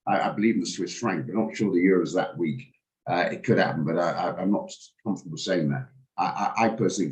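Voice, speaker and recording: A man, hoarse from a cold, hosting a live trading room and talking through charts with hesitant, stammering restarts. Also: low-pitched, darkish tone, low-quality recording. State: hoarse, recovering from flu. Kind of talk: live market commentary on a video call.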